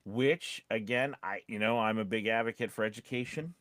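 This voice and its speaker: A man's voice: lowish voice, moderate pace, fairly bright tone, no echo. A man co-hosting a casual talk as a voice-over coach, conversational and rambling, repeating points for emphasis. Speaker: podcast co-host and voice-over coach. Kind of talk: casual chat with advice to voice actors.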